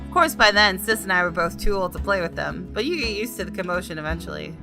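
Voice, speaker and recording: A woman hosting a gaming video, switching between casual commentary and reading story text aloud. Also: mid-range voice.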